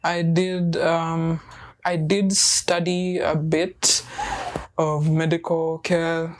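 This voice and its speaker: A young woman who is a musician guest on a talk show, telling stories in an animated, joking way and laughing easily. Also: low voice, drawn-out words. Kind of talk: casual interview chat.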